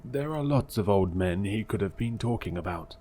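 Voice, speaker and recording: A man doing a gruff, stubborn old shopkeeper. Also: lowish voice, lively intonation, normal speed, warm tone, slight background noise, lightly acted. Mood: defensive. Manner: blunt and stubborn.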